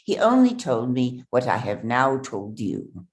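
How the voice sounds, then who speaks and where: Woman doing a polite discreet regency gentleman, really deep voice, lively intonation, formal drama reading. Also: a little slow, full character voice. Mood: earnest, discreet. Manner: formal, courteous. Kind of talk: staged reading of a period drama.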